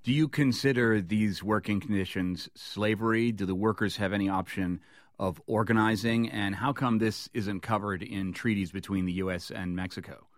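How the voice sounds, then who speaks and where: A man interviews as a radio host in a serious news style. His voice is fairly low, and the sound is very dry with no room on it. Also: fairly even intonation, moderate pace, dry recording.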